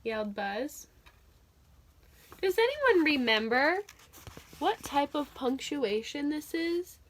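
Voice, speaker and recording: A woman's voice: high-pitched, sing-song, slow pace, fairly bright tone. A woman teaching young children through a read-aloud, telling the story expressively and putting simple, encouraging questions to her listeners.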